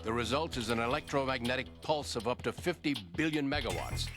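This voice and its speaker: A man's voice: medium pitch, a little fast, over music, lightly acted. An actor playing a mysterious male informant who explains in an urgent, cryptic way, in a video game. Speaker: secret informant. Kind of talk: video game radio call acting.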